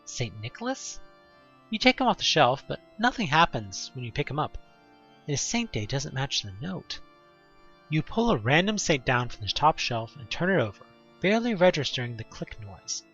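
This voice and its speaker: A man's voice: on the high side, very animated, moderate pace, a bit bright, slightly rough. A man narrating a horror audio drama theatrically in the second person, on a muffled recording with music behind.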